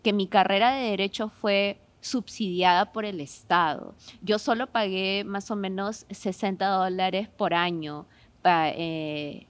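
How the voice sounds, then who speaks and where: A woman with a Peruvian accent talks as a guest on a podcast. Her voice sits at a medium pitch, and she explains through personal anecdotes, often checking in with short tag questions. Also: steady tone, a little slow. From Peru. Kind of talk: conversational, anecdotal talk.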